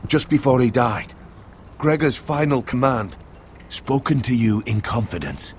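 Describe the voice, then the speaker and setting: An actor playing a grave, devoted older uncle in a medieval fantasy game, speaking in a solemn, formal, measured way. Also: male voice, mid-range voice, slight background noise, natural voice. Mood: solemn, secretive. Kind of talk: dramatic fantasy game acting.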